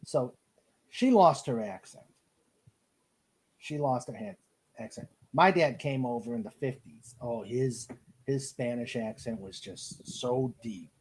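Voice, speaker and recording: A man from Ohio with an American accent, hosting a casual live chat and often halting as he searches for words. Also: mid-range voice, darkish tone, a little hiss or hum. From Cleveland, Ohio, United States. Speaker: podcast host. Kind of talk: casual live chat, reading out listener comments.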